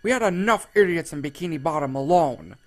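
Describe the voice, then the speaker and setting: A man voicing a bitter, grumpy adult male squid neighbor who yells and sneers. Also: on the high side, expressive, racing, pitched above the performer's own voice. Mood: yelling. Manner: yelling, sneering.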